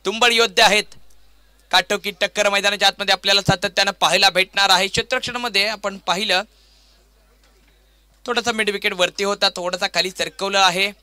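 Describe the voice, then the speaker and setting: A man's voice: high-pitched, fairly even intonation, brisk, bright, forceful tone, clean tone, no echo. A man with a Maharashtrian accent giving live play-by-play cricket commentary in grandiose, dramatic phrases with repeated stock lines.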